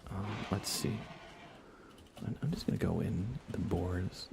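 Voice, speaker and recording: A soft-spoken man hosts a relaxed ASMR gaming stream in a low, gravelly voice. He talks in a hushed, casual way and often drops into a whisper, with faint noise behind him. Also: a little slow. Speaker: livestream host. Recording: faint computer fan noise. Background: computer fans, game ambience.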